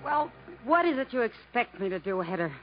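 A woman's voice: a little high, big pitch swings, brisk. An actress playing a poised, world-weary young woman in a 1950s radio drama. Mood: cool, challenging. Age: young adult. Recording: dull, noisy vintage radio recording.

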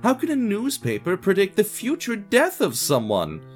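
Man acting an exasperated young office worker, pitched up. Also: wildly swooping pitch, normal speed, faint music behind. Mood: annoyed, indignant. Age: 20s. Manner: exasperated, insistent, exclaiming. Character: annoyed, bland office worker man in his twenties.

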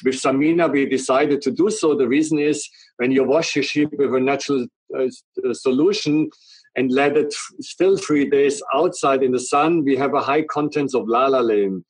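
A man's voice: medium pitch, moderate pace, breathy edge, no echo, poor audio. Man with a strong German accent. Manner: earnest, explains in long run-on sentences. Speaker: guest, company representative. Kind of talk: explanatory interview talk.

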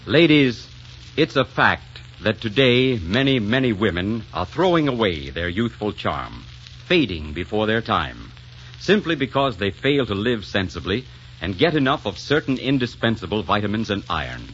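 A man's voice: on the low side, very animated, normal speed, fairly bright tone, slight background noise. A man announcing on 1940s radio, polished and persuasive in advertising style. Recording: muffled 1944 radio broadcast with music.

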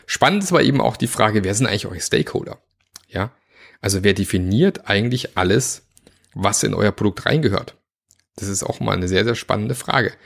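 Man with a cold, low gravelly voice, chatty teaching tone, lots of fillers. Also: lively intonation, fairly quick, fairly bright tone. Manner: chatty, many fillers and asides. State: has a cold, sore throat. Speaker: agile coach teaching teams. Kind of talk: informal spoken lesson.

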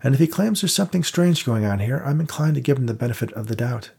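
A man voicing a confident young woman ghost hunter, brisk and matter-of-fact with a dry wit. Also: medium pitch, animated, gravelly, a little mellow, breathy edge, voice pushed up.

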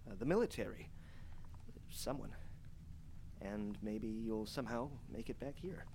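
An actor playing a frightened young man trapped alone, recording a halting, stammering diary in a horror audio drama. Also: on the low side, expressive, background noise. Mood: anxious, tender.

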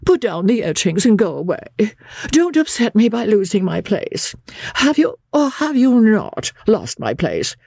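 A man voicing a languid, peevish gentleman invalid in an audiobook, with a fussy, affectedly fragile, sarcastic drawl. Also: sits high, huge pitch swings, measured pace, creaky, no room sound, studio quality, voice pushed up, heavily acted. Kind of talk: dramatised audiobook character voice.

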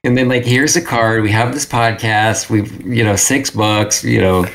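A man talking casually as an interview guest, telling stories in an animated way with quoted asides. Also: on the low side, normal speed, low-quality recording. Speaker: interview guest, self-published creator.

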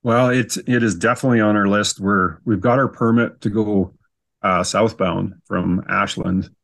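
A Canadian man with a fairly low voice chats as a podcast guest. He tells his stories casually and in an easygoing way, happy to poke fun at himself. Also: fairly even intonation, normal speed, creaky, a bit bright. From Canada, southern Saskatchewan. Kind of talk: casual storytelling in an interview.

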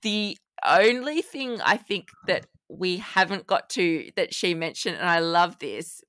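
Bright, clear woman podcast co-host. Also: lowish voice, animated, measured pace, no echo. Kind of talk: casual fan podcast chat.

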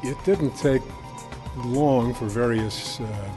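A man from California giving a thoughtful testimonial in a halting way, pausing often. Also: mid-range voice, over music. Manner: halting, thoughtful, with many pauses. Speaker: coaching trainee.

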